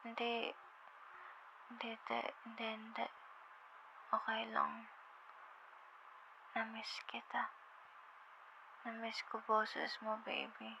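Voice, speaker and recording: A woman playing a sleepy, affectionate girlfriend in an intimate ASMR roleplay, with a Filipino accent and a drowsy, halting, tender murmur. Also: on the high side, monotone. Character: sleepy, affectionate young girlfriend on a late-night call. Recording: muffled, with clear background noise.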